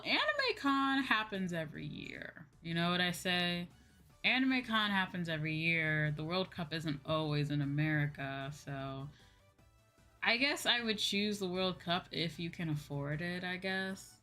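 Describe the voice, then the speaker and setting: A woman's voice: lowish voice, animated, unhurried, sharp tone. A woman hosting a livestream, chatting casually with viewers in a rambling way, thinking aloud and circling back over her points. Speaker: livestream host and virtual streamer. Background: background music.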